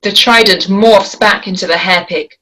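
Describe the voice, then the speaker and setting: A woman narrating stage directions at a table read, in a measured, descriptive way. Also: mid-range voice, bright, forceful tone, low-quality recording.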